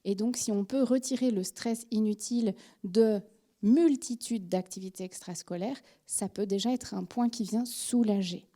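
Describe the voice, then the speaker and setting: A woman in her forties from France, with a French accent touched by Belgian speech, giving a chatty, animated talk on parenting as a psychologist, full of fillers and restarts. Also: mid-range voice, moderate pace. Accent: French with a Belgian tinge. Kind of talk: informal lecture to an audience.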